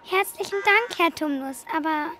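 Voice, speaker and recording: A woman's voice: very high-pitched, normal speed, sharp tone, a little hiss or hum. An actress playing a polite, curious, kindly little girl in a storybook audio drama, earnest and inquisitive.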